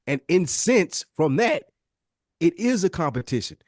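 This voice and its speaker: A man's voice: a little high, big pitch swings, unhurried, no room sound, studio quality. A man co-hosting a podcast, explaining his point insistently in a casual debate. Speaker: podcast co-host.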